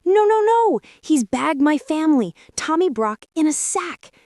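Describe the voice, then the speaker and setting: A woman's voice: high-pitched, sing-song, a little slow, a little mellow, no echo, studio quality, pitched above the performer's own voice, exaggerated voice. A woman voicing a frantic young father rabbit from a storybook, urgent and pleading, in exclamations. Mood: frantic, distressed. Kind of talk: storybook character voice.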